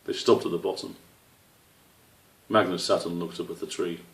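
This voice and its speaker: Man, deep creaky voice, children's storybook narration, roomy slightly distant recording. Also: fairly even intonation, moderate pace. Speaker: author reading own story.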